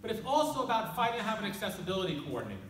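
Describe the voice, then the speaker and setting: A man giving a loud, energetic campaign speech at a community forum, standing away from the mic in a hall. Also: high voice, rapid-fire, a crowd in the background. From Queens, New York. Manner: loud, energetic stump speaking. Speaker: political candidate. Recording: echoey hall, speaker far from mic.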